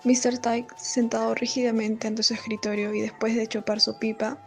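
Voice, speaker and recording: A woman's voice: on the high side, completely flat delivery, normal speed, soft background music. A woman narrator reading scripted narration for an amateur radio serial.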